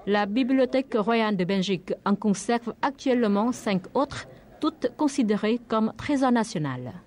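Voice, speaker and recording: A woman reading a scripted television news report in a steady, formal manner. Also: fairly high pitch, normal speed, slight background noise. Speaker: news reader.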